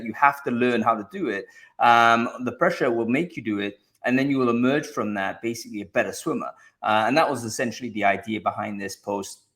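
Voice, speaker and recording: Discursive man hosting a live online discussion. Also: mid-range voice. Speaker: host of a live online show about recruiting. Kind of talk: casual live discussion, addressing viewers.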